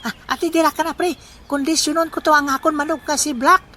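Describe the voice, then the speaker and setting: A man voicing an eager, greedy, excitable village rooster owner in a comedy audio drama. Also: very high-pitched, quick pace, voice pushed up.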